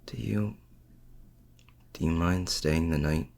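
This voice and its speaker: A man playing a lovestruck, protective supervillain in an intimate ASMR roleplay, speaking in a halting, earnest way with a gravelly, husky voice. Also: sits low, a little mellow, full character voice. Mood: tender, protective. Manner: halting, earnest, with hesitant restarts. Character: lovestruck male supervillain, vulnerable, protective, confessing.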